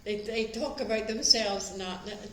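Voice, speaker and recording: A woman's voice: mid-range voice, moderate pace. An enthusiastic woman chatting casually as a craft group member. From Northern Ireland. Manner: enthusiastic, warmly eager. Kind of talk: casual chat. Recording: echoey noisy room.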